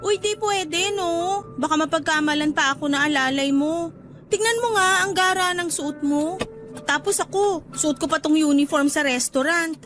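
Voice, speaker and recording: A bold young Filipino waitress, coquettish and teasing with her lover. Her voice is high and girlish, in radio romance style, with faint music behind it. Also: moderate pace, a bit bright. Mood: playful, teasing. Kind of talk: radio romance drama acting.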